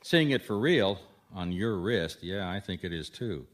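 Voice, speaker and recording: A man playing a wry, persistent private detective in a radio mystery, talking in measured, dry wisecracks. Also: low voice, expressive. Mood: dry, probing.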